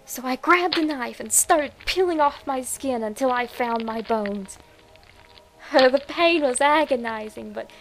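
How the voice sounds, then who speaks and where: A woman voicing a deranged, lovestruck young woman who tells her morbid horror story in a breathy, dramatic way. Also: sits high, over music, low-quality recording. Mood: unhinged, fervent. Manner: demented, breathy, dramatic, slow with whispered words. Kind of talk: first-person horror story narration.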